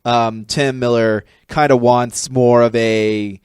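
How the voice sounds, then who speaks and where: A man's voice: mid-range voice, fairly even intonation, takes it slowly, clear, resonant tone, no room sound. A man co-hosting a podcast in a casual, rambling discussion style. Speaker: podcast co-host.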